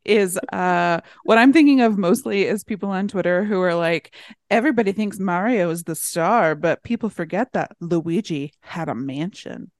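A woman's voice: fairly low pitch, normal speed, darkish tone, dry recording, clean studio sound. A woman co-hosting a podcast in casual banter, with a wry, joking way of talking. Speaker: podcast co-host.

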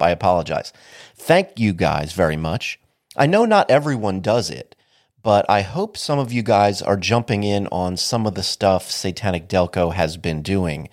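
A man with a low, deep voice hosts a podcast on his own. He talks in a casual, wry way and sounds a bit sniffly from allergies. The sound is close and dry, with no room around it. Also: dry recording. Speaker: podcast host. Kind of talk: solo podcast monologue.

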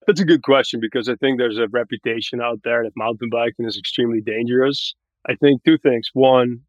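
A man's voice: mid-range voice, dry recording, lo-fi sound. A man from Barbados chatting casually as a podcast guest, explaining things in an articulate way. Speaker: podcast guest, tech growth manager. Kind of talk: casual interview chat.

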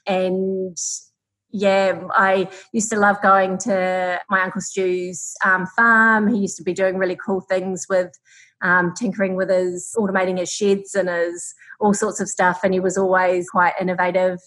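A woman's voice: mid-range voice, flat delivery, measured pace, a bit bright, poor audio. A woman with a New Zealand accent, answering conversationally as an interview guest who farms and founded a tech business.

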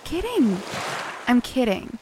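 Candid, skeptical biographer. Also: female voice, a little high, very animated, slow pace, background noise. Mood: teasing, nervous. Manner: informal, candid, questioning. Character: candid, skeptical female biographer, sarcastic and easily amazed. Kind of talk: naturalistic sci-fi audio drama acting.

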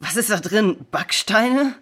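A woman's voice: medium pitch, animated, slow, a bit bright, breathy edge, full character voice. A woman playing a bold, inquisitive, helpful child in a children's audio drama. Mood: enthusiastic.

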